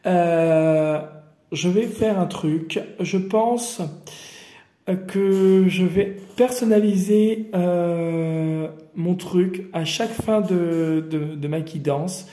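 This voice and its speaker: A man from the Paris region gives an improvised oracle card reading in a roomy, echoing space. His voice sits a little high but sounds mellow and soft-edged. He rambles along in a familiar way, speaking right to the viewer as a friend. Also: very slow. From Paris region, France. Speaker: card reader hosting daily guidance videos. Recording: roomy echoey room.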